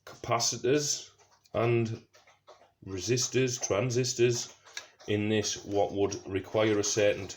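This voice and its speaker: A man with a fairly low voice presents a casual how-to. He talks through each step as he works, thinking aloud as he goes. There is some faint noise on the amateur recording. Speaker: presenter of a DIY car project video. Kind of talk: casual hands-on tutorial talk. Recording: noisy amateur recording with clicks.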